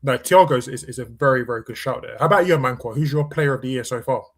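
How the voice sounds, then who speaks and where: Guy hosting a footy podcast, really quick talker, casual panel chat. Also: mid-range voice, a bit bright. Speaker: football podcast host.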